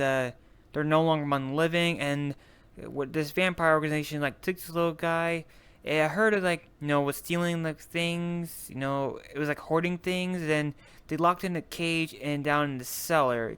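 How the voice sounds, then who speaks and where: A man improvising in character in an online role-play game, speaking casually and haltingly. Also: fairly high pitch, fairly bright tone, clear, resonant tone, dry recording. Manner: hesitant, halting.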